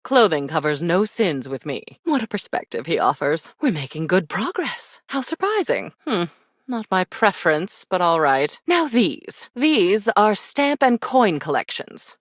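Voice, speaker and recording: A woman's voice: fairly low pitch, big pitch swings, measured pace, dry recording. A woman voicing a haughty female spirit in a video game, speaking in an arch, theatrical way with dry sarcasm and condescending endearments. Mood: dry, appraising. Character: haughty, sardonic female attic spirit of forgotten keepsakes. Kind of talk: scripted video game character acting.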